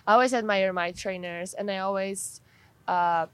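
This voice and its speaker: A woman's voice: mid-range voice, fairly even intonation, moderate pace, a bit bright, clean tone, no room sound. A woman from Poland, an athlete and trainer answering interview questions earnestly, restarting sentences as she searches for words.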